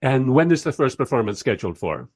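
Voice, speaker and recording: Courteous, dry radio interviewer, lively intonation. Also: male voice, mid-range voice, dry recording. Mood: polite, doubtful. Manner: measured, courteous, dryly understated. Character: polite, dryly sceptical male radio interviewer. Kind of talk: radio play acting, interview and narration.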